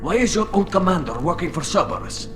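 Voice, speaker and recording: Hot-headed alien soldier, fairly high voice, curt, suspicious, game dialogue with music behind. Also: male voice, big pitch swings, brisk. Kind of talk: video game voice acting.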